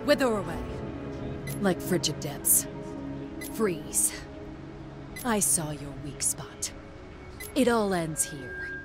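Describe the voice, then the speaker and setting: Game casting: a devoted young female warrior guard, low register, unhurried and solemn, music underneath. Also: animated. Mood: resolute. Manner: formal, restrained, solemn delivery. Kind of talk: scripted video game character voice lines.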